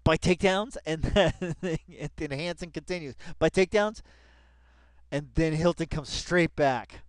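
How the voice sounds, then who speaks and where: A man with a fairly high, clean voice in a dry, close recording. He gives casual commentary while reading aloud, rambling excitedly, repeating himself for emphasis and swearing offhandedly. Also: measured pace, dry recording. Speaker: video commentator. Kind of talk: casual read-along commentary of a web article.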